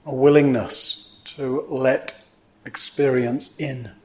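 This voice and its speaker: Man slowly guiding a meditation. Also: mid-range voice, level tone. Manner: measured phrases with pauses, gentle instructing. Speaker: meditation teacher. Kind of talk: guided meditation instruction.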